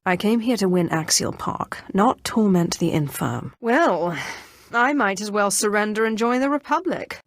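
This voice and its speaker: A woman's voice: medium pitch, no room sound, studio quality. A woman playing a ruthless, haughty Sith inquisitor in a video game, commanding and menacing, with a mocking edge. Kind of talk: scripted video game character lines.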